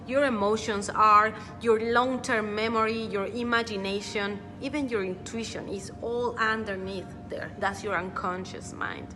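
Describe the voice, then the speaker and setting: A woman's voice: medium pitch, bright, forceful tone. A woman giving an earnest motivational talk as a personal development coach. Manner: earnest, repeats words for emphasis. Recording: reverberant room with faint music.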